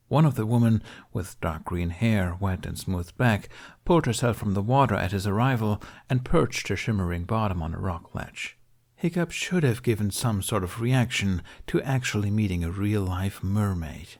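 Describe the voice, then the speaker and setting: A man's voice: on the low side, gravelly, a little mellow. A man reading a scripted story as an audiobook narrator.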